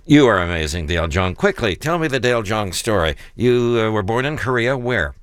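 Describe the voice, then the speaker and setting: Brief: male radio host, lowish voice, effusive and upbeat, dry studio sound. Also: lively intonation, fairly quick, clean tone, no echo. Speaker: radio interviewer. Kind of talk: conversational radio interview.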